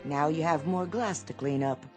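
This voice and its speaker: A woman acting in a radio drama as a patient, practical middle-aged wife, soothing and gently chiding. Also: sits low, moderate pace, soft background music, natural voice. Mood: pleased, excited.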